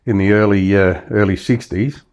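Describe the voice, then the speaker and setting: An Australian man in his seventies with a fairly low voice tells his life stories unhurriedly. He wanders through his sentences, full of "you know" and "uh", restarting as he goes. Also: steady tone, moderate pace, darkish tone. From Western Australia. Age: 70s. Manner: rambling, many fillers and restarts. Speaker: interview guest. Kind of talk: casual storytelling interview.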